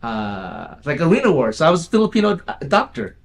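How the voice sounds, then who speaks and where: A man talks in a relaxed, good-humored way as a guest on an interview show, his voice sitting a bit high for a man. He often chuckles and restarts his sentences, filling the gaps with "you know". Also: a little fast, gravelly, a crowd in the background. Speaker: film company owner and actor, guest. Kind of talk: casual interview chat.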